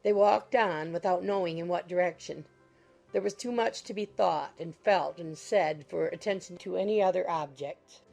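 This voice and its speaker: A woman's voice: fairly low pitch, moderate pace, creaky, a little mellow, soft background music. A woman reading scripted literary narration from a classic novel as an audiobook narrator.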